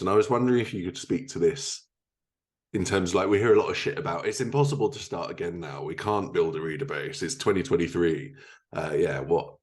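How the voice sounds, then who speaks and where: Male podcast host, clearly low voice, relaxed conversational questioning, teasing and sweary. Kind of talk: casual interview chat.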